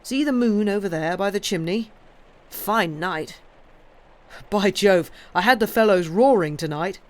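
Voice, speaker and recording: A reader voicing a jovial, blustering middle-aged husband with a loud, hearty manner. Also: medium pitch, measured pace, lightly acted. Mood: boastful.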